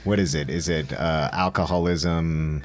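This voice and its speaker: A man's voice: extremely low pitch, fairly even intonation, a little slow. A man hosting a podcast, asking curious questions in casual chat. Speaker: podcast host.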